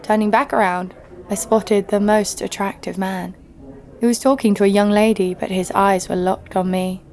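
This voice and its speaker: A woman acts out a brash young female adventurer for an audio drama, telling her own exploits. She is sarcastic and blunt, with a mid-pitched voice and music playing underneath. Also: steady tone, normal speed, darkish tone, natural voice. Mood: wry storytelling. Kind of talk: first-person audio drama narration.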